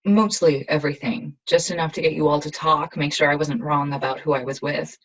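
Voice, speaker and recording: A woman acts out a young female rebel assassin from a dystopian future. Her voice sits a little low for a woman. She speaks with resolute, earnest intensity, as in a dramatic audio play. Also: fairly even intonation, brisk, poor audio. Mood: confessional.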